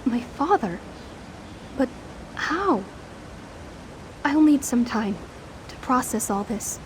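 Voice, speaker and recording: High-voiced, earnest grieving daughter. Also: female voice, animated, slow, a little hiss or hum, rough recording. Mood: stunned, reflective. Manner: earnest, courteous. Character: grieving young adult daughter, polite and bewildered. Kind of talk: dramatic video game dialogue.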